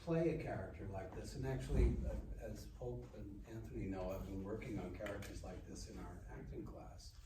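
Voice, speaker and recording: An older man, an actor, giving reflective panel remarks in a halting, measured way. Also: lowish voice. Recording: very echoey room, distant mic, noisy.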